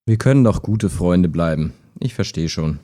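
A man's voice: low-pitched, expressive, moderate pace, mellow. A man playing a skeptical, wistful chatbot that talks like a human in an intimate radio play, understated and hesitant. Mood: tentative, wry.

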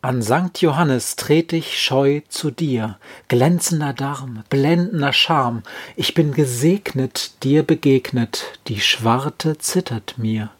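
A man reciting verse as a poem reader, in a measured and solemn manner. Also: mid-range voice, slightly rough. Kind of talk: poetry recitation.

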